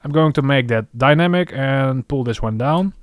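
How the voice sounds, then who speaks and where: A man's voice: mid-range voice, moderate pace. A man hosting a music production tutorial, talking casually and thinking aloud as he works. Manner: thinking aloud while working. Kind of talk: casual screen-recorded tutorial commentary.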